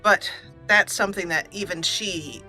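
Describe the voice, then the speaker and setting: Bright-voiced woman narrating a game roleplay. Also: medium pitch, measured pace, rough recording. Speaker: let's play host. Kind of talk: roleplay storytelling over gameplay. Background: faint game music.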